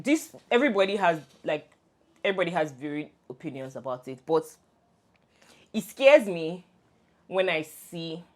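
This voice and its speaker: A young Nigerian woman co-hosting a casual podcast, telling stories with laughter and many restarts. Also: on the low side. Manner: animated storytelling, laughs often, many restarts. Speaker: podcast co-host. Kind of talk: casual chat between best friends.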